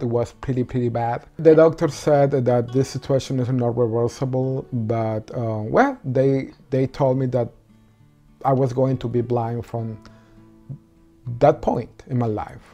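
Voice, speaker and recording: Venezuelan man, mellow and warm, halting second-language English with pauses, faint guitar underneath. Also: mid-range voice. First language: Spanish. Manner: halting non-native English with many ums and restarts. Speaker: interviewee. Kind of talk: personal story interview.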